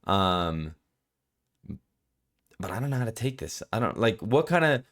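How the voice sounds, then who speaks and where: A man giving casual, unscripted commentary as a reviewer, rambling and halting as he goes and often repeating himself. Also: on the low side, big pitch swings, fairly bright tone. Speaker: manga chapter reviewer.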